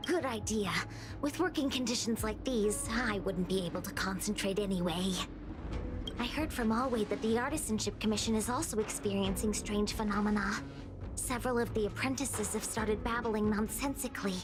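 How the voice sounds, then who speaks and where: A woman's voice: mid-range voice, moderate pace, over music, lightly acted. A woman playing a laid-back young female diviner in a video game, casual, wry and rambling. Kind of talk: video game cutscene acting.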